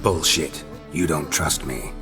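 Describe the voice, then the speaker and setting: Very deep, gruff monster hunter. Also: male voice, slow pace, long reverb tail, over music. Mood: suspicious. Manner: terse, clipped, deadpan. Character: gruff, stoic, blunt male monster hunter. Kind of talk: video game voice acting.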